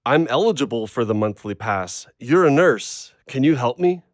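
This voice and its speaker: Man acting a blunt, animated, guarded dog owner. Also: mid-range voice, moderate pace, no room sound, clean studio sound, lightly acted. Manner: blunt, literal, abrupt. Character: blunt, guarded, literal-minded dog owner named Wally. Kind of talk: audio drama acting.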